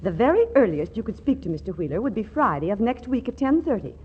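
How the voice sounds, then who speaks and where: An actress playing a polite, businesslike office secretary in a 1940s radio comedy. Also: female voice, mid-range voice, sing-song, fast, lightly acted. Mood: polite, brisk. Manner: courteous, businesslike. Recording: dull, hissy 1940s radio recording.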